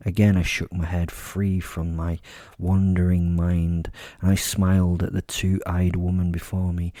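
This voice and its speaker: A very low, velvety voice, close and dry, belongs to a man playing a wealthy werewolf serial killer. He tells his horror tale with measured, smug relish and dark humour. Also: no echo. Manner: measured, smug, darkly humorous storytelling. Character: suave, vain, wealthy male werewolf serial killer, menacing narrator. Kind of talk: first-person dark horror audio drama narration.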